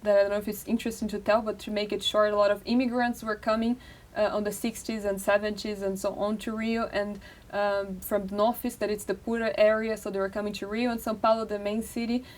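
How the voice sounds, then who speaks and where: A young Brazilian woman with a Portuguese accent, talking freely as an interview guest, with lots of "like" and "uh" between thoughts. Her voice sits at a middling pitch and sounds a little distant from the microphone. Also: level tone, fast, hardly any pauses. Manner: talkative, many fillers like "like" and "uh". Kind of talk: casual interview.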